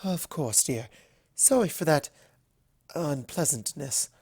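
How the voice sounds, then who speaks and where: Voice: mid-range voice, expressive, slow pace, a little mellow, breathy edge, no echo, studio quality, full character voice. A narrator voicing a refined adult female cartoon unicorn who is genteel, weary and strained in politeness.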